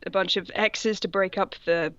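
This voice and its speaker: A woman's voice: medium pitch, fairly even intonation, normal speed, a bit bright, no echo, highs cut off. A woman co-hosting a comedy show, casually reading fan fiction aloud and commenting on it. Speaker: co-host reading the female parts.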